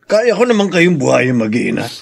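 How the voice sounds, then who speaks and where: A man's voice: medium pitch, sing-song, moderate pace, vocal fry, breathy edge, full character voice. A Filipino man playing a firm, protective older father in a radio drama, speaking decisively.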